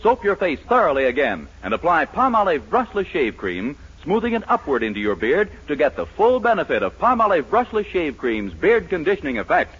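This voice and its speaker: A male announcer from 1940s radio with a slightly high, very animated voice. He reads his script in a polished showman's style on a muffled old broadcast recording. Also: fairly quick, hardly any pauses, husky. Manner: polished, theatrical showman delivery.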